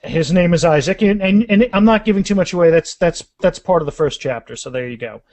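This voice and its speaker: Higher-pitched man in a halting conversation. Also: expressive, darkish tone, no echo. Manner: halting, often restarts and repeats words. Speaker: author being interviewed about their fantasy series. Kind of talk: casual podcast interview.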